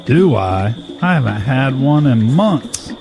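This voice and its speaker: A man's voice: medium pitch, sing-song, slow, gravelly, exaggerated voice. A man playing an easygoing, affectionate husband in an audio drama.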